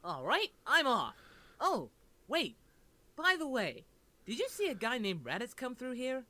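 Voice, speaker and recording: A cheerful, naive martial-arts hero played by a woman in a cartoon parody. The voice is bright and fairly high, moving animatedly up and down. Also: huge pitch swings, measured pace, dry recording, full character voice.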